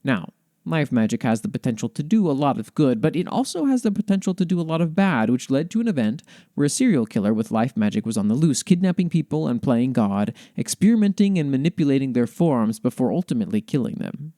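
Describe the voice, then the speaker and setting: Mellow-voiced man reading lore, dry recording. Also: mid-range voice, very animated, fast talker, no room sound. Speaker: podcast host. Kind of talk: reading lore aloud with commentary.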